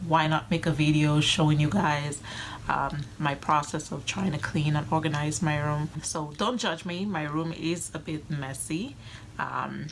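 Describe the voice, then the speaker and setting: Low-pitched, slightly hoarse woman vlogger. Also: fairly even intonation, a little slow, a little hiss or hum. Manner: conversational, explanatory. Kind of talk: casual cleaning vlog narration. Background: background music.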